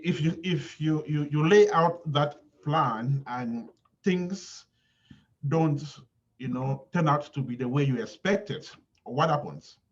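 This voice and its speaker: A man moderating a webinar, asking questions in a halting, deliberate way and repeating words, over lo-fi audio. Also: mid-range voice.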